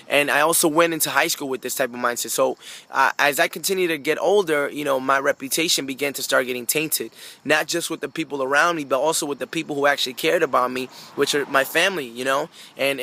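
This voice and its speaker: A man's voice: a little high, bright, forceful tone. A man from Peru, a native Spanish speaker, giving an earnest motivational talk to camera, repeating phrases and addressing listeners directly. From Peru, moved abroad at age five.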